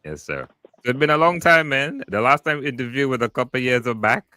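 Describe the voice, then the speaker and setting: A man hosting a podcast interview, relaxed and loose, with plenty of fillers as he asks questions. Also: medium pitch, a little fast, dry recording. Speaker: podcast host. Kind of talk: casual interview. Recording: dry close mic, faint music.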